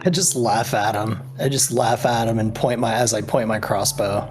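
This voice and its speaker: A man's voice: fairly low pitch, steady tone, normal speed. A man in casual chat as a tabletop player, hesitantly thinking aloud. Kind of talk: casual chat during a game. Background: clearly audible background music.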